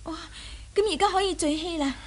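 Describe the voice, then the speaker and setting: A devoted young wuxia heroine, anxious for her companion. Her voice is very high, speaking Hong Kong Cantonese in radio drama style. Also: brisk. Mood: worried.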